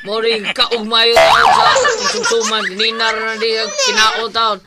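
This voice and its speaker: A man's voice: very high voice, very animated, measured pace, very noisy, exaggerated voice. A man playing a tipsy, teasing drinking buddy in a comedy skit, with a Cebuano Filipino accent and a loose, repetitive, exclamatory way of talking. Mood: bantering. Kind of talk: casual comedic skit banter.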